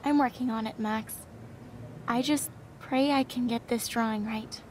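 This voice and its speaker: An actress voicing a shy, devout young woman student in a video game, speaking softly and earnestly. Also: a little high, fairly even intonation, unhurried, slight background noise, close to an everyday voice. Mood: sincere.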